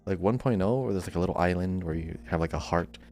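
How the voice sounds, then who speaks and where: Deep-voiced man chatting casually over music. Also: fast talker, a little mellow. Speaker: podcast co-host. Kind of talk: casual gaming podcast chat.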